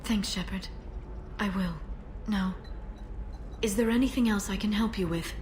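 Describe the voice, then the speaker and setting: An actress playing a composed, determined female information broker in a sci-fi video game, measured and earnest. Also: mid-range voice, a little mellow, natural voice. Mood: earnest, guarded. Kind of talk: scripted video game dialogue. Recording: noisy ambient background.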